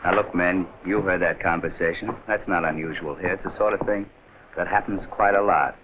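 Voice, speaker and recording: 1940s film role: plain-spoken American soldier, played by a man, deep, earnest, explanatory, on a hissy worn soundtrack. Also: fairly even intonation, a little fast, dull audio, lightly acted. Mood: frank, earnest. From United States. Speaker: host and guide of a military training film. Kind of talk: 1940s film acting, informal address to camera.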